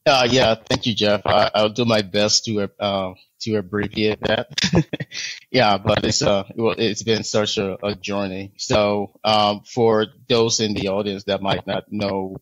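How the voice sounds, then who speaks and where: A man from Liberia in his thirties talks as a podcast guest in a low, even voice that rarely rises or falls. He speaks in a measured way, full of small pauses and restarts. The sound is close and dry, with no room around it. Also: dry recording, low-quality recording. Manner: measured, many ums and restarts. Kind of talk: interview storytelling.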